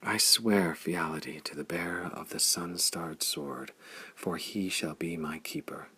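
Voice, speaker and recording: A man reading the part of a tender, devoted young woman in an audiobook, speaking in soft, hushed tones. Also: low-pitched, unhurried, husky, exaggerated voice. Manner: soft, hushed whispering delivery. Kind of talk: audiobook character voice.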